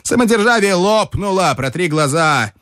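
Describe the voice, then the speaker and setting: A man voicing an excitable male engineer who shouts his doom-laden predictions in a high, agitated voice. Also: normal speed, no echo, studio quality. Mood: frantic. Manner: shouting, frantic, exclamatory.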